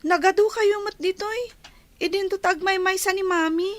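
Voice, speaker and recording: Woman acting high-voiced, dutiful young Ilocano daughter. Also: steady tone, moderate pace, dry recording. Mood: worried, insistent. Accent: Ilocano Filipino. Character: dutiful, anxious young foster daughter, pleading and respectful. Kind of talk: Ilocano radio drama acting.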